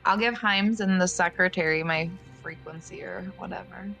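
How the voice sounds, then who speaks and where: Woman, tabletop player, lowish voice, sharp tone, halting. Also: vocal fry, soft background music. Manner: hesitant, many likes. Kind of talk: casual tabletop play.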